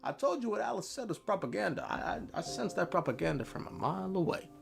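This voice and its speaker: A man's voice: sits high, sing-song, fast, gravelly, soft background music. A man narrating a game lore recap in an emphatic, dramatic way, drawing out key words.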